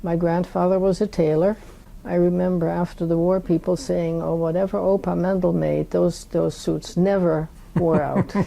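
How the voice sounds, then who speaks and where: Older woman in her eighties, Dutch accent, very gravelly, dark low voice, unhurried, stops to find words. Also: little pitch movement, low-quality recording. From Netherlands. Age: 80s. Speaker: interviewee. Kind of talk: oral history interview.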